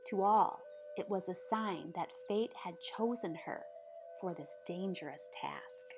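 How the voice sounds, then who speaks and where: A woman narrating a storybook aloud in a measured, expressive way, with dramatic pauses. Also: fairly low pitch, noisy background. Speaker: storybook reader. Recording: music behind the voice. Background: background music.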